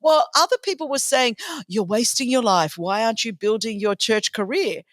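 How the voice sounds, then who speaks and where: A middle-aged woman with an Australian accent, Greek as her first language, telling stories in a conversational interview with animated emphasis and acted-out quotes. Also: a little high, moderate pace, no room sound, studio quality. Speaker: podcast host.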